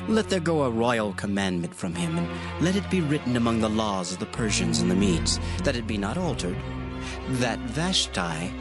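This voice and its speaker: A man playing a grave Persian prince advising the king, giving formal, measured counsel in a dramatized scripture reading. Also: fairly low pitch, big pitch swings. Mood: solemn. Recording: music bed under the voice. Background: music.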